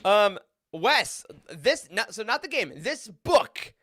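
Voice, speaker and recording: This is a podcast host in casual, jokey conversation. The voice sits at a middle pitch and is very lively, swinging up and down as it moves between announcements and banter. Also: slow. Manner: animated, jokey. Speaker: host of a fan roundtable show. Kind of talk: casual chat, hosting.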